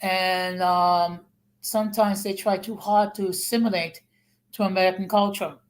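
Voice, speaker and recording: A woman in a casual online talk, explaining her views in a measured, emphatic way, point by point. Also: mid-range voice, fairly even intonation. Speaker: interview partner. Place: New York.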